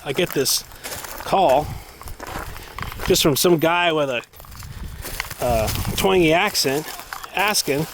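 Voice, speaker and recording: A man's voice: a little high, takes it slowly, pauses often. A man telling a story in a casual, rambling, conversational way, as a vlogger out on a walk. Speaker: vlogger, former online knife maker. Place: outdoors, walking dogs in an urban area. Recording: outdoor ambience and traffic noise. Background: faint outdoor and vehicle noise.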